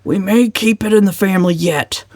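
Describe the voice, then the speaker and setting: A woman's voice: mid-range voice, moderate pace, darkish tone, dry recording, clean studio sound, lightly acted. A woman voicing a bossy, irritable old uncle who growls and snaps bluntly in an audiobook.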